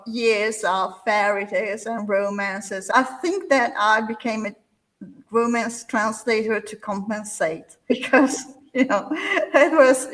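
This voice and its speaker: A woman, a literary translator answering interview questions in a slow, measured way with pauses. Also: on the high side, fairly bright tone, poor audio.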